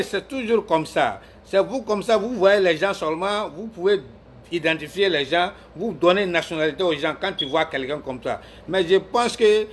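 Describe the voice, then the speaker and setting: A man's voice: high voice, husky. A man from Ivory Coast giving heated political commentary on a live stream, arguing with viewers and calling them out by name. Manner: argumentative, scolds viewers by name, repeats himself.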